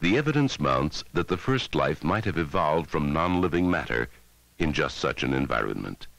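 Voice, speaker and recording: A man narrating a scripted educational film in a measured, dramatic way, on a 1960s film soundtrack. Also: a bass voice, sing-song, gravelly, fairly bright tone. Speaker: documentary narrator.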